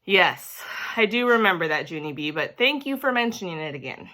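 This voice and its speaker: Female reader as storybook male teacher: measured, gently exasperated, stern yet kindly, bright tone, expressive pitch. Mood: dry, patient. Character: weary, patient male schoolteacher, stern but kindly.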